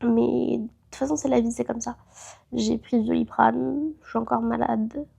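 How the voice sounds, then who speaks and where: A woman's voice: on the high side, fairly even intonation, moderate pace, gravelly, mellow, husky. A woman in her late teens with a head cold, chatting casually and rambling a little as she vlogs to camera. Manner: chatty, rambling, frequent fillers and restarts. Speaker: travel vlogger. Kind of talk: casual vlog chat to camera.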